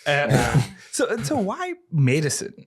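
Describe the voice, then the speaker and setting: A woman's voice: low-pitched, wildly swooping pitch, slow, breathy edge. A woman co-hosting a podcast in casual conversation. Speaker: podcast co-host. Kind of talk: casual chat.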